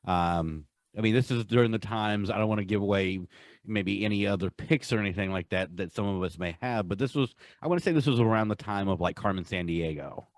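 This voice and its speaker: A man with a fairly low voice talks as a podcast co-host. He is casual and enthusiastic, repeating himself for emphasis. The sound is dry, with little room in it. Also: a little fast, dry recording. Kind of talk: casual pop-culture countdown chat.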